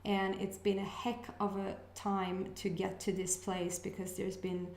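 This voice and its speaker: A woman with a Swedish accent, a native Swedish speaker, doing a casual intuitive reading to camera as a channel host. She talks in a hesitant, musing way and pauses to sense messages. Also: medium pitch, level tone, a little mellow, echoey room. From Sweden. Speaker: astrology reader, channel host.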